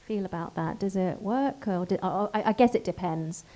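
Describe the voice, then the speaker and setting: A woman's voice: medium pitch, moderate pace, warm tone, a little hiss or hum. A woman moderating a panel, asking frank, probing questions and passing them to the speakers. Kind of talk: panel discussion.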